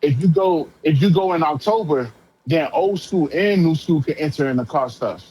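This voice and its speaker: A man's voice: a little high, animated, moderate pace, warm tone. A talkative man chatting casually on the phone in slangy speech, often repeating himself as he goes. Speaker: prospective renter, self-taught auto body painter. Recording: noisy phone call.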